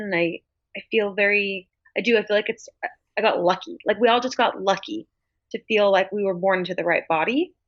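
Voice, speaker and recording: A woman's voice: mid-range voice, no room sound. A woman co-hosting a podcast, speaking reflectively and earnestly in casual chat. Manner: reflective, earnest, many "I feel like"s. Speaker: podcast co-host. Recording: dull lo-fi audio.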